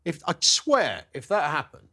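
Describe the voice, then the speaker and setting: A man's voice: on the high side, huge pitch swings, measured pace, sharp tone, slightly rough. A British man bantering as a panellist, blunt and jokey. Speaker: panellist on a news discussion show. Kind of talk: casual banter.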